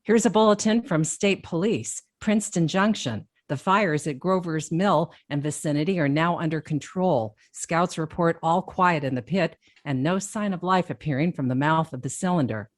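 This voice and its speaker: A woman playing a radio announcer in a radio drama, reading news bulletins in a clear, formal way. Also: low-pitched, normal speed, hardly any pauses, no room sound, studio quality, natural voice.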